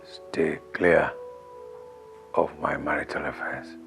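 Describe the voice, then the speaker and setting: A man's voice: very deep voice, fairly even intonation, slow, full character voice. A man playing a domineering, angry husband who gives curt commands in a film melodrama. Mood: angry, commanding. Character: domineering, angry husband demanding his will be written. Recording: music clearly behind.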